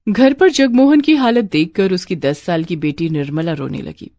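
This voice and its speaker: A woman's voice: lowish voice, moderate pace, warm tone, slight background noise. A woman narrating a scripted cartoon story as a storyteller.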